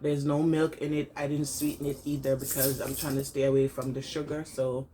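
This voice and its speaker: Stuffy, tired low-voiced woman. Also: flat delivery, dark tone, slight background noise, poor audio. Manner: low-energy, tired, halting with many fillers. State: congested with a cold. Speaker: vlogger. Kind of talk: casual vlog update. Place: at home.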